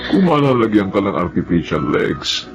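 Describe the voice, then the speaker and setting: An older Filipino man acting as a caring, pious father who gently pleads in a TV drama. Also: fairly low pitch, very animated, moderate pace, over music. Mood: pleading, consoling.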